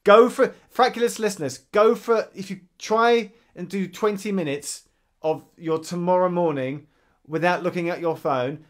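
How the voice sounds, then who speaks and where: Animated podcast co-host. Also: male voice, on the high side. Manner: animated storyteller, acts out his own thoughts. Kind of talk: casual chat.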